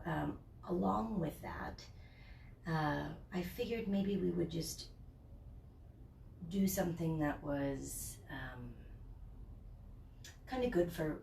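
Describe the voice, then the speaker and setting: A woman's voice: sits low, vocal fry, recorded from a distance, slight background noise. Stuffed-up woman teaching yoga. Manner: chatty and rambling, then slow and soothing cues. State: stuffed up with a summer cold. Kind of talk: guided yoga class. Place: home, near a porch patio set. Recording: echoey room from off the mic.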